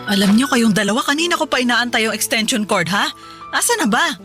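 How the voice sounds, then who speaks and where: A woman's voice: a little high, animated, fairly quick, sharp tone. An actress playing a playful, boastful middle-aged mother of two in a Tagalog radio drama. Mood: nagging, reminiscing. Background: faint background music.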